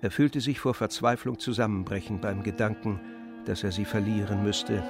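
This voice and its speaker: A man narrating a radio drama as a literary storyteller, grave and measured. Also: on the low side, steady tone, music in the background.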